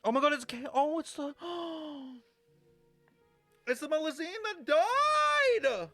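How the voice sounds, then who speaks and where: A man's voice: very high-pitched, slow pace, vocal fry, no room sound. A man giving casual, exclamatory reaction commentary as a game streamer. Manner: expressive, exclamatory. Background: game music.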